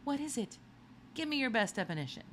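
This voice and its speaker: Woman voicing an animated, insistent young sleuth. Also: high voice, fairly quick, slight background noise, pitched above the performer's own voice. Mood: playful, teasing. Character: clever, determined young narrator hero, eager amateur sleuth.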